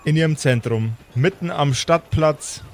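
A man's voice: a little high, measured pace, a little hiss or hum. A man narrating an improvised tabletop game as game master, with a theatrical storytelling manner.

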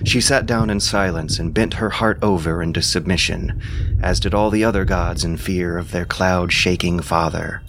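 A man's voice: sits low, steady tone, normal speed, background noise. A man reading scripted narration as narrator and commentator.

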